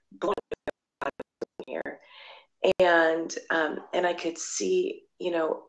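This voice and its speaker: A woman's voice: low-pitched, rough recording. A woman missionary from the United States telling her story in a candid, rambling way, with many fillers and restarts. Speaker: missionary and blogger. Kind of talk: personal testimony in conversation.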